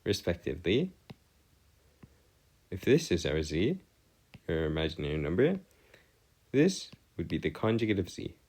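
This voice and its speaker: Deep-voiced male tutor, halting and animated. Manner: formal wording, halting, self-correcting. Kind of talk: informal maths lesson over a digital whiteboard.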